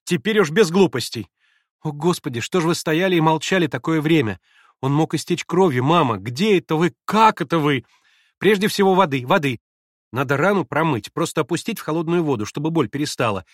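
A man reading aloud, voicing an excitable, imperious young invalid girl who gives urgent commands. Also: mid-range voice, brisk, dry recording, clean studio sound, natural voice.

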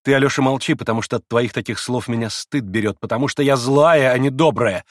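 A man reading an audiobook and voicing a passionate young woman who is proud, emotional and quick to flare. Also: mid-range voice, no echo, clean studio sound, lightly acted. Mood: fierce.

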